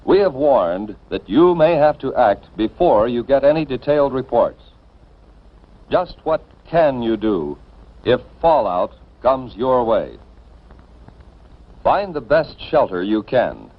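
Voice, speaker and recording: An American man reading scripted 1950s instructional film narration in an authoritative, deliberate manner, addressing the listener directly and emphatically. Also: medium pitch, very animated. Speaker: narrator of civil defense educational film. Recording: dull band-limited vintage film soundtrack.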